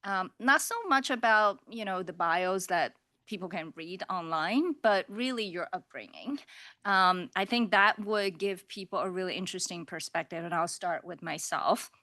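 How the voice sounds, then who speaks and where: Chatty woman moderator, bright voice. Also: lowish voice, normal speed, no room sound, studio quality. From Taiwan. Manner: chatty, laughs often, many fillers. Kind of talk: panel discussion moderation.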